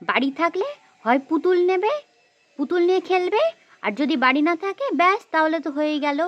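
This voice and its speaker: A high female voice as a woman acts out an exasperated mother in a homemade children's story. She nags and threatens, repeating her complaints about a naughty little daughter. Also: lively intonation, brisk, highs cut off. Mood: exasperated, complaining. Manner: nagging, repetitive, threatening. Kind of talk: amateur children's play-acting story.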